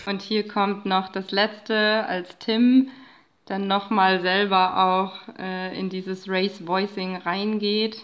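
A woman speaking as an expert guest in a casual interview, explaining things with halting, searching phrasing. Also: medium pitch, little pitch movement, a bit bright.

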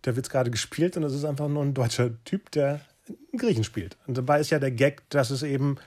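A man's voice: medium pitch, brisk, a little mellow. A man hosting a podcast, telling anecdotes in a colloquial, casual way. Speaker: podcast host.

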